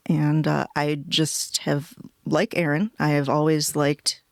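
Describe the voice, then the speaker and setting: A woman's voice: low voice, level tone, slow pace, vocal fry, dry recording. A woman co-hosting a podcast in casual chat, with dry, understated remarks. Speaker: podcast co-host, editor.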